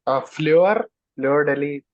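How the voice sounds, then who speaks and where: Male contestant, unhurried casual answers, dry and slightly muffled call sound. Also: a little high, a little mellow, no echo. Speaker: quiz contestant. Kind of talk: casual spoken quiz answers. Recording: slightly dull call audio.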